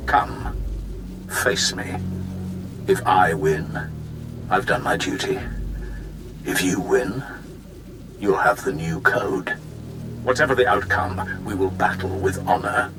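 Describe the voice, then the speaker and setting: An actor voicing a solemn, honor-bound Sith warrior lord in a video game, speaking formally and with measured gravity. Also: male voice, sits low, animated, music in the background, heavily acted, poor audio. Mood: grave, challenging. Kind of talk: dramatic video game acting.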